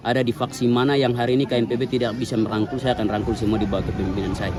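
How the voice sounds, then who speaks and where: A man with a Papuan Indonesian accent speaks quickly at a medium pitch. He makes a public statement as a local leader, outdoors with a noticeable crowd around him. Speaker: newly installed local chairman. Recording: outdoor recording with crowd noise.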